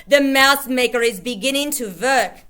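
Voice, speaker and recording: Woman doing storybook witch queen, high and shrill, imperious and cruel. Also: normal speed, bright, forceful tone, clear, resonant tone. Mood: shrieking. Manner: shrieking, imperious. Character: commanding cruel female witch leader.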